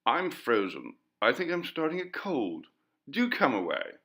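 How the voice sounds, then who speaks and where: A man's voice: medium pitch, wildly swooping pitch, unhurried, fairly bright tone, voice pushed up, full character voice. A man voicing a wry, uneasy, genteel lady in an audiobook ghost story. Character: Lady Jane, uneasy, wry English gentlewoman. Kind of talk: audiobook character dialogue.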